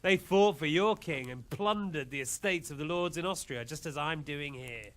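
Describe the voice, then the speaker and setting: An actor playing a smug, cruel warlord who taunts his captive in a theatrical, mock-polite, deliberate way, as in a video game cutscene. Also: a little high, a bit bright, a little hiss or hum, natural voice. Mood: gloating, menacing.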